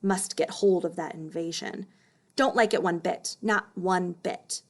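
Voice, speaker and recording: A woman puts on a grumbling male boar for a children's storybook. He mutters suspiciously to himself in short, clipped phrases, with a lowered pitch. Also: a little slow.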